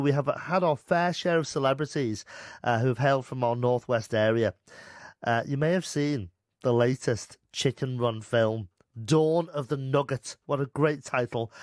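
A man's voice: on the high side, no room sound, studio quality. A middle-aged man from Merseyside with a light Scouse accent, presenting a radio show and chatting to a guest with plenty of fillers. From Merseyside, England. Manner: chatty, warm upbeat delivery with many fillers. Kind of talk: radio interview chat. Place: radio studio. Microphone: studio mic, headphones.

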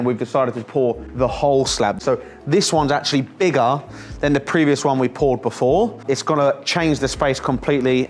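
Man, 30s, vlog host, enthusiastic, jokey, casual walkthrough, warehouse, middle pitch. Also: a little hiss or hum. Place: warehouse under construction, indoors and on roof.

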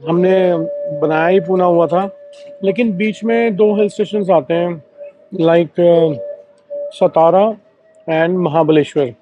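High-voiced, unhurried travel vlogger man. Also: fairly even intonation. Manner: chatty, addresses viewers often with a friendly "so, sir" filler. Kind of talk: casual travel vlog chat. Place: hotel breakfast area. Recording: dull lo-fi sound with faint music.

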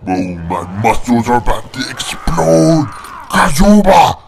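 A man giving joking, boastful let's play commentary through a pitched-down monster voice filter. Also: sing-song, a little slow, creaky, raised voice. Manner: joking, boastful, playful trash talk. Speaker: gamer.

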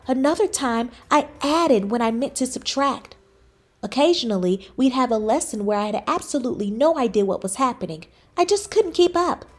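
A woman voicing an earnest, anxious cookie schoolchild in a children's storybook, with expressive, dramatic emphasis. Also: on the high side, normal speed. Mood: frustrated, downcast. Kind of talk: children's storybook read-aloud.

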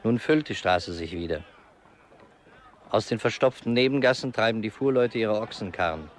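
Low-voiced solemn guy actor narrating a radio drama, some hiss and clicks behind. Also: lively intonation, moderate pace, close to an everyday voice. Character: solemn male chronicler of a Roman funeral. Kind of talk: scripted radio play narration.

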